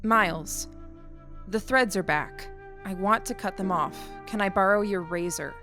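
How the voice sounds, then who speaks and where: A woman voicing a gentle, literal-minded young insectoid alien in an audio drama, with plain, earnest phrasing and music behind. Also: medium pitch, unhurried. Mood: subdued, troubled.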